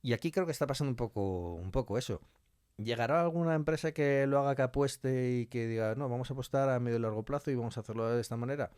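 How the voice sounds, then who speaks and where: A man's voice: mid-range voice, quick pace, a little mellow, smooth, no echo, clean studio sound. A man with an accent from Spain talking as an interview guest, giving opinions with rhetorical questions and imagined quotes. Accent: Spanish (Spain). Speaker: interview guest, audio fiction writer.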